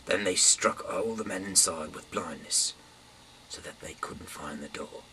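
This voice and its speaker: A man's voice: deep voice, unhurried. A man in his thirties reading scripture aloud in an informal but dramatic way, with the odd stumble. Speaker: Bible reader. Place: small dim room indoors. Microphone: camera mic from a little way off. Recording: distant mic, faint background hiss.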